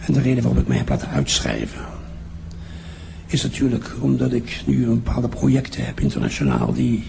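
A man's voice: mid-range voice, very animated, creaky. An older man playing a boastful, roguish crime boss and charming father in a radio play, drawing out and savouring his words. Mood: smug, boastful. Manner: drawn-out, self-satisfied, savouring his words. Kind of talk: improvised radio play dialogue. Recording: noisy room ambience.

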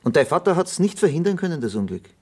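An actor playing a persistent police detective in a German radio drama, questioning in measured, insistent short sentences. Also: male voice, on the high side, very animated, darkish tone, husky. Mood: insistent, matter-of-fact. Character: probing, persistent male police detective inspector.

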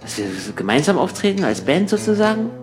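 A quick-speaking man with a fairly high voice in a comic sketch. His delivery is conversational, matter-of-fact and a bit skeptical, with faint music underneath. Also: very animated. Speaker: member of a comic radio play trio. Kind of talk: conversational comedy sketch dialogue.

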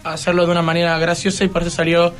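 Halting, higher-voiced teenage male. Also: monotone, fairly bright tone. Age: teens. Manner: halting, repeats himself. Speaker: student guest. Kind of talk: casual radio interview.

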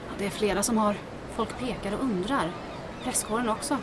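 An actress playing an observant mayor's aide in a comic audio drama, delivering everything in a dry, deadpan manner. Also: female voice, medium pitch, crowd noise, background noise, natural voice. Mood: dry, watchful.

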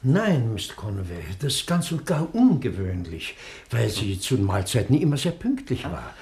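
Anxious, formal assistant, animated pitch. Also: male voice, normal speed. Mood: worried. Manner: courteous, formal. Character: Roden, anxious, formal male doctor's assistant. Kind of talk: 1960s German radio crime drama acting.

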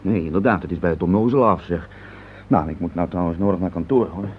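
A man's voice: low-pitched, fast talker, a little hiss or hum, close to an everyday voice. An actor playing an impatient, evasive middle-aged husband and office man in a radio play, grumbling and dismissive. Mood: flustered, impatient. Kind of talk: naturalistic Dutch radio play acting. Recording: muffled old radio play recording.

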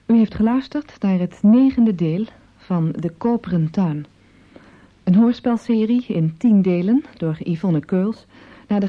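A woman reading a scripted radio announcement in a formal, measured manner. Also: on the low side. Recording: dull archival radio sound.

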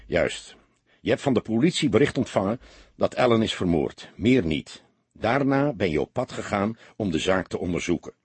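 A man voicing a seasoned, greying police detective in an audiobook, calm, sober and matter-of-fact. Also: lowish voice, normal speed, slightly rough, dry recording. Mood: instructing.

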